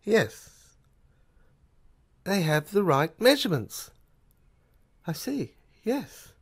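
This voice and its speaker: A speaker of middling pitch performs in an amateur science fiction audio serial. The delivery is slow and halting, with stammers and repeated phrases as the character earnestly explains. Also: big pitch swings, breathy edge. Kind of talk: amateur audio drama dialogue.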